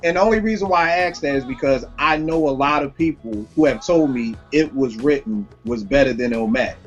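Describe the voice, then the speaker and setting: A man in his forties hosting a casual discussion, putting questions to his panel in a lively way. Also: mid-range voice, fairly quick. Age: 40s. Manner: animated, quizzes the panel. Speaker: podcast host. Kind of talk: casual conversation. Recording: lo-fi, faint music behind.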